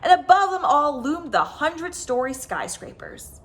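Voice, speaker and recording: Female storyteller for kids' picture book, higher-pitched and swooping, with dramatic, expressive delivery. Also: normal speed, slight background noise. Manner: expressive, dramatic emphasis with stretched words. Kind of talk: animated children's story reading.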